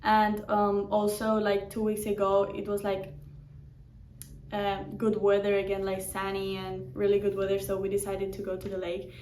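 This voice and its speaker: A woman's voice: mid-range voice, monotone, moderate pace, a little mellow, slight background noise. A young woman from Spain, a Spanish native speaker and boarding school student, answering in simple English with frequent "like". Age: young adult. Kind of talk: casual interview answers. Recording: echoey room, mic at a distance.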